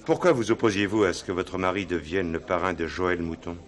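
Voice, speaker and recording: A courteous police inspector, played by a man, with a deep, low voice. He questions formally and probingly, in the measured style of a radio crime drama. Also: natural voice.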